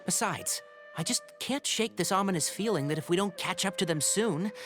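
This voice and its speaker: An actor playing an earnest, level-headed young scholar in an anime-style video game, speaking articulately and with care. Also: male voice, high-pitched, animated, normal speed, music in the background. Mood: determined. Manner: articulate, cautious, determined.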